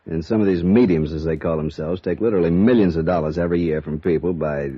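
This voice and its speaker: Male: mild, formal insurance broker in vintage radio drama, deep voice, muffled recording. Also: husky, dry recording. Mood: measured. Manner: measured, formal, polite. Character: mild-mannered, likable male insurance broker, quietly worried. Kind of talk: 1950s radio drama acting.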